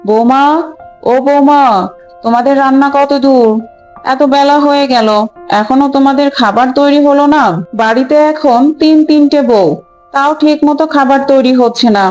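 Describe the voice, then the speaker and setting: Cartoon matriarch, a woman acting an older mother-in-law, high-pitched, bossy, fault-finding, faint music behind. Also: fairly even intonation, moderate pace, a little mellow, lightly acted. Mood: scolding.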